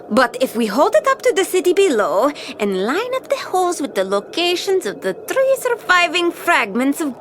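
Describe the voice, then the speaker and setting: A woman's voice: high-pitched, fairly bright tone, slight background noise, full character voice. An actress playing a bold, impatient female pirate captain in a cartoon, with a commanding, theatrical delivery and seafaring slang.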